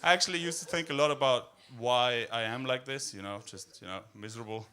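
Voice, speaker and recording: A man with an Austrian accent doing a stand-up set in a deadpan, darkly humorous way, full of fillers and hesitations. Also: mid-range voice, fairly quick, a crowd in the background, background noise. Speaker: stand-up comedian. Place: comedy club stage.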